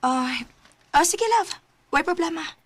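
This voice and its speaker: A woman's voice: sits high, unhurried, a bit bright, slight background noise. A Cebuano actress playing a devoted, easily hurt young woman in a romantic radio drama, with an emotional, pleading manner. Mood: affectionate. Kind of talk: Filipino radio drama acting.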